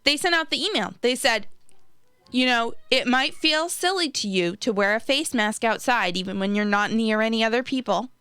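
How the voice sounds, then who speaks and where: A woman's voice: on the high side, lively intonation, normal speed, sharp tone, clean tone, no echo. An American woman co-hosting a podcast, in casual chat about politics. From United States. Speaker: podcast co-host.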